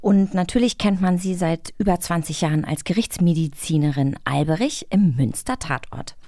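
A woman's voice: on the low side, moderate pace, warm tone, no echo. A woman co-hosting a radio magazine in a casual, chatty interview style. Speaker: co-host of a radio play magazine.

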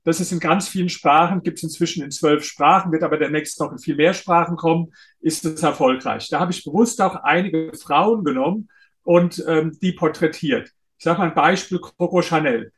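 Guy guest author, kinda high voice, explains stuff, says uh a lot. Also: normal speed, a bit bright. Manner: explanatory, hesitant with frequent uh fillers. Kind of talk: interview conversation.

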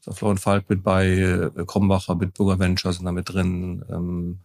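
A man's voice: low-pitched, completely flat delivery, moderate pace, darkish tone. A man in his fifties chatting casually as an interview guest, colloquial, with loose, broken-off sentences. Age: 50s. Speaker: interview guest, company founder. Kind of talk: casual chat.

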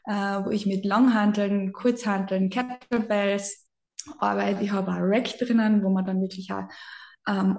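A woman in her thirties from southern Austria with a Carinthian accent, chatting as a physiotherapist guest on a podcast, lively and self-deprecating. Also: medium pitch, vocal fry, roomy sound. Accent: Carinthian Austrian German. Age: 30s. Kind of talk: casual podcast interview.

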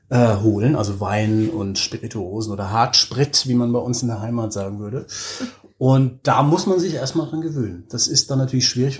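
A man's voice: mid-range voice, a little mellow. A middle-aged man from Hesse, Germany, chatting casually and with easy humour as an interview guest. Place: hotel room.

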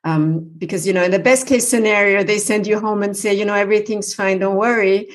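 A woman's voice: mid-range voice, steady tone, a little fast. A woman hosting an interview, earnestly advising listeners, with frequent fillers. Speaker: podcast host.

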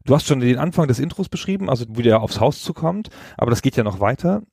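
A man's voice: medium pitch, animated, quick pace, creaky, darkish tone, breathy edge, no echo, studio quality. A man hosting a podcast, explaining video games in a casual, colloquial, conversational way. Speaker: podcast host. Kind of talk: casual video game discussion.

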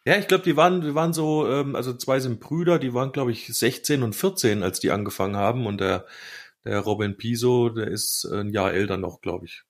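A man's voice: mid-range voice, fairly quick. A man chatting casually and colloquially as a podcast co-host, with slangy turns of phrase.

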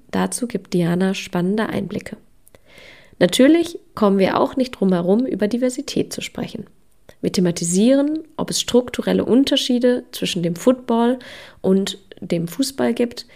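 A woman hosting a podcast, reading an intro and then chatting with a guest, her voice hoarse from a cold. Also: medium pitch, a little mellow. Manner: upbeat, with many fillers. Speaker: podcast host. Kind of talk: interview podcast, scripted intro then chat.

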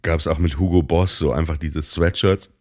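Brief: man, podcast co-host, very deep voice, dry close sound, halting delivery. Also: fairly even intonation, a little mellow, no room sound. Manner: halting, many restarts and fillers. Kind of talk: relaxed bedtime chat.

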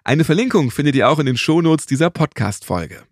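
A man's voice: a little high, expressive, brisk, no room sound, clean studio sound. A man speaking as a podcast host in German-style interview and presenting delivery. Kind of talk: podcast interview and scripted ad read.

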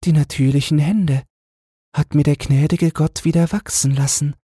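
A young queen voiced by a male reader speaks in a raised, breathy voice. She is gentle, patient and pious, in a fairy tale read aloud. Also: a little high, steady tone, normal speed, dry recording, clean studio sound, pitched above the performer's own voice. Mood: gentle, calm.

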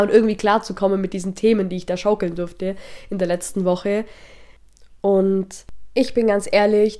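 A woman's voice: mid-range voice, dark tone. A young woman hosting a podcast, talking reflectively while she has a cold that makes her voice a little nasal, with plenty of fillers. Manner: thoughtful, reflective, with frequent fillers. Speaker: podcast host. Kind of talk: casual spoken podcast monologue.

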